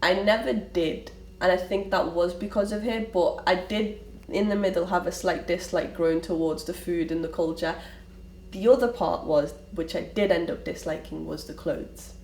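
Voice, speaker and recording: A woman's voice: lowish voice, moderate pace, a little mellow. A young woman with a Liverpool accent, candidly telling her family story in an interview, often restarting her sentences. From Liverpool, England. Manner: candid, rambling with frequent restarts. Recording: roomy, from a distance, faint ambient noise.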